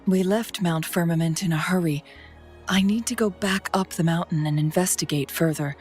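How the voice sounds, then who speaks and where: An actress playing a calm, perceptive female strategist in a video game, speaking in a measured, formal, articulate way. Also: on the low side, lightly acted. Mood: composed, serious. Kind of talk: scripted video game dialogue. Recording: music behind the voice.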